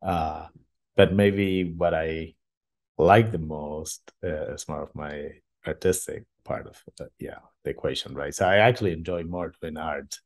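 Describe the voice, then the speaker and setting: A man from Argentina answering casually as a podcast guest, halting and thinking aloud as he goes. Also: very deep voice, fairly even intonation. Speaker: podcast guest, engineer and artist.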